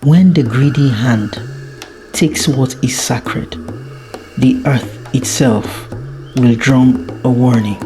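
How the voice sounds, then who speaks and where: A storyteller narrating a folktale in a dramatic, deliberate way with frequent pauses. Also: mid-range voice, over music.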